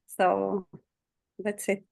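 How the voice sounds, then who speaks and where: Woman, first language Arabic, mid-pitched, hesitant self-introduction, video-call sound. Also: monotone, very slow, vocal fry, darkish tone. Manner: hesitant, many fillers. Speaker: returning student, Arabic teacher.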